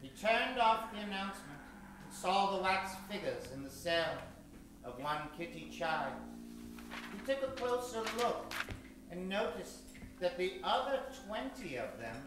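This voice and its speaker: A man's voice: high-pitched, very animated, a little slow, pauses often. A man playing a melodramatic narrator and announcer of an old-time radio horror show. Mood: ominous. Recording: echoey room, distant mic, music behind.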